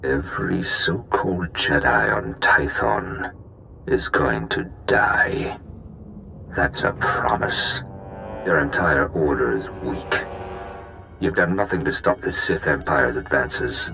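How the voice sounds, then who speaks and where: An actor playing a bitter, vengeful villain in a video game, speaking in measured, grandiose threats. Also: male voice, extremely low pitch, lively intonation, bright, forceful tone, exaggerated voice. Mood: bitter, threatening. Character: menacing vengeful villain, bitter fallen master. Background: clear background music.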